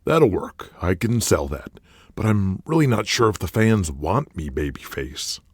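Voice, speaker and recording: A man's voice: low-pitched, sing-song, measured pace, slightly rough, no room sound, studio quality, exaggerated voice. A man playing a cooperative but hesitant pro wrestler in an audio drama. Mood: wary. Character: wary heel male pro wrestler, cooperative.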